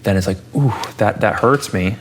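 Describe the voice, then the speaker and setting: A man's voice: fairly low pitch, normal speed, a little hiss or hum. A man in his thirties hosting a casual interview, thinking aloud and asking curious questions. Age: 30s. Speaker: podcast host.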